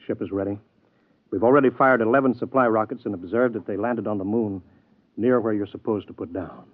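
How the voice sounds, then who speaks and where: Man doing a no-nonsense space chief, lowish voice, dry and tinny like old radio. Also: a little fast, dry recording, natural voice. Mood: brisk. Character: brisk space program chief. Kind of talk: 1950s radio drama acting. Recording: dry telephone-thin radio broadcast.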